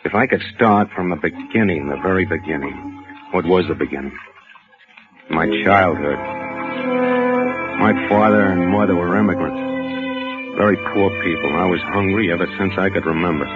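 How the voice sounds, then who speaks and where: An actor playing a desperate, haunted man who speaks in a halting, intense way in a 1940s radio drama. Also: sits low, natural voice. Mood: brooding, confessional. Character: desperate, haunted man, son of poor immigrants. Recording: muffled vintage radio broadcast, music behind.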